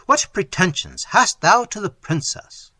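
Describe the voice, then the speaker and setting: A male reader voicing an indignant nobleman who rebukes others loudly and scornfully. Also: sits high, expressive, a little slow, no room sound, pitched above the performer's own voice, heavily acted. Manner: loud, rebuking.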